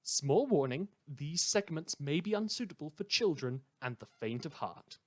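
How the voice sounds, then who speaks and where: A man playing a wry community radio host in a fan audio drama, delivering scripted narration in a measured, theatrical deadpan. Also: fairly high pitch. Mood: dry, wry. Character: wry male community radio host telling eerie tales.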